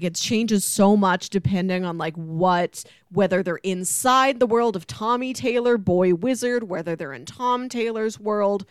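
A woman hosts a relaxed pop culture podcast in a chatty, enthusiastic storytelling way. Her voice sits at a middling pitch, recorded dry with very little room around it. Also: smooth, dry recording. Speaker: podcast host. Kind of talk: casual pop culture discussion.

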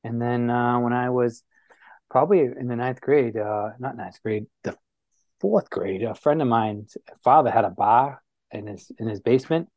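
A man from New Bedford, Massachusetts talks as an interview guest, recounting his past in a reflective, pause-filled way. His mid-pitched voice sounds dry and close to the mic. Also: darkish tone, dry recording.

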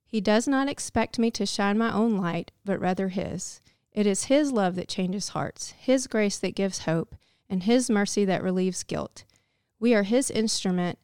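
A woman's voice: mid-range voice, a little mellow, clean tone, no echo, clean studio sound. A woman giving a scripted, reflective devotional narration. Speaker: devotional speaker.

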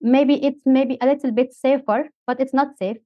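A woman's voice: high voice, fairly even intonation, normal speed, no echo. Syrian woman, 30s, non-native English, hesitant and filler-heavy, dry and slightly muffled interview guest. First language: Arabic. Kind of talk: interview storytelling.

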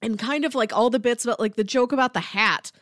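A woman podcast co-host in a casual, enthusiastic chat about a TV series, quick to laugh. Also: on the high side, a bit bright, dry recording, clean studio sound.

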